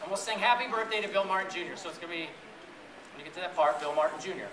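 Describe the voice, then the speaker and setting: A man reading a picture book aloud to a group of children in a playful, chatty way, pausing to ask them questions. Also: sits high, steady tone. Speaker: storybook reader to children. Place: echoey room with an audience of families. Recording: roomy, distant event recording with audience noise.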